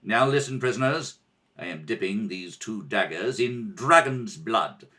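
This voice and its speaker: A man's voice: lowish voice, lively intonation, a little slow, pushed and bright, voice pushed down. A man playing a stern, suspicious rebel prince with an imperious manner, in old radio serial style. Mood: grim.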